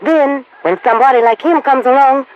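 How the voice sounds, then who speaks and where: A woman's voice: high-pitched, brisk, highs cut off, close to an everyday voice. A woman playing a blunt, suspicious immigrant Italian farm wife with an Italian accent and broken English in an old American radio drama. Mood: blunt, grumbling. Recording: old radio broadcast recording.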